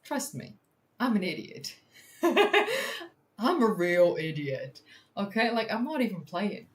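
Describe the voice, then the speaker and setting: Slow, lively Dutch woman streaming. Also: mid-range voice. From Netherlands. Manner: excitable, swears casually, self-deprecating, chats to viewers. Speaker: science communicator and livestream host. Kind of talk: casual livestream chat, reading from a study.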